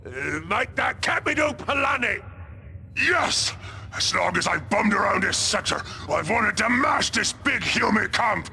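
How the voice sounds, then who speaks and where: A man playing a brutish, boisterous ork in a video game, with a gravelly Cockney voice and crude, ungrammatical, bellowing speech. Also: high voice, big pitch swings, unhurried, heavily acted. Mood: gleeful, belligerent. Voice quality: gravelly, shouty. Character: brutish, boisterous male ork warboss and his gang. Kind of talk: video game cutscene acting.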